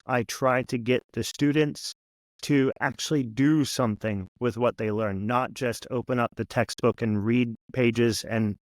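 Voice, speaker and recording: An American man giving measured, explanatory answers as a guest in a conversational interview. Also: medium pitch, fairly even intonation, no room sound. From United States. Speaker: podcast guest, teacher and content creator.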